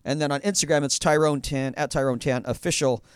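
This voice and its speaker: A man's voice: a little high, fairly even intonation, brisk, clear, resonant tone, no echo. A man hosting a radio show in a studio, chatting casually, full of fillers and restarts. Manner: rambling, many fillers and restarts.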